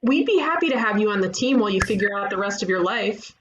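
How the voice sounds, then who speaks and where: A woman playing a breezy, blunt detective in an animated comedy, casual and matter-of-fact. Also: mid-range voice, quick pace, lo-fi sound, background noise, lightly acted. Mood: businesslike. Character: breezy, blunt female detective running a ghost agency. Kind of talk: animated comedy table read.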